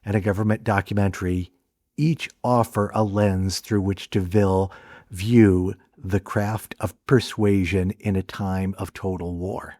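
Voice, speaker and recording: A man giving scripted documentary narration about film history, measured and deliberate. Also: deep voice, steady tone, darkish tone, no room sound, studio quality.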